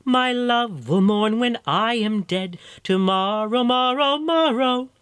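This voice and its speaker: A sorrowful storybook maiden under a bird enchantment, sung by a man. The voice is a high falsetto, mournful and repetitive in its song, captured dry and close. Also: lively intonation, takes it slowly, fairly bright tone, clear, resonant tone, no room sound, exaggerated voice. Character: sorrowful young maiden singing, turned into a nightingale.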